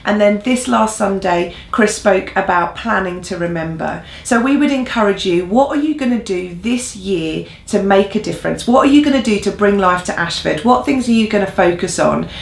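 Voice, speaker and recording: Creaky-voiced Englishwoman giving church news. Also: medium pitch, lively intonation, moderate pace, hardly any pauses. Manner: earnest, encouraging. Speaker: church newsreel co-host. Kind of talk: informal church news update with appeals. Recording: echoey room sound.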